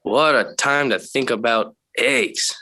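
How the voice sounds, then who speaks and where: An actor playing an indignant, pleading fifteen-year-old son in a 1940s radio sitcom. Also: male voice, medium pitch, slow, sharp tone, exaggerated voice. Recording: vintage 1940s radio broadcast.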